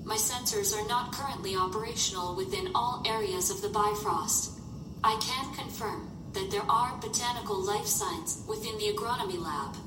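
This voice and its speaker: A woman's voice: normal speed, close to an everyday voice. Echoey, clinical female ship computer, played by an actress. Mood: impassive, clinical. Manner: formal, clinical, procedural. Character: impassive female medical computer on a colony spaceship. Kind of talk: audio drama acting. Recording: distant, echoey, noisy with rumble.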